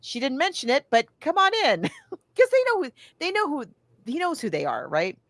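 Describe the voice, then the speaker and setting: A woman's voice: high-pitched, huge pitch swings, normal speed, fairly bright tone, no room sound, studio quality. A woman podcast co-host casually recapping a film, thinking aloud and backtracking as she goes, slipping into casual quoted asides. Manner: acts out characters' lines in casual asides.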